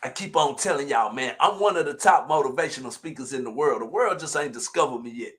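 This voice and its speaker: A man, preaching motivation in a colloquial, emphatic and repetitive way, moving between low asides and shouted exhortation. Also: fast talker, background noise. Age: 40s. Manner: colloquial, direct address to listeners. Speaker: motivational speaker. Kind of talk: fiery motivational preaching on a livestream.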